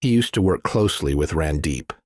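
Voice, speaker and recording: A man with a low voice reads a thriller as an audiobook narrator. The delivery is scripted storytelling, recorded very dry. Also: animated, brisk, no room sound.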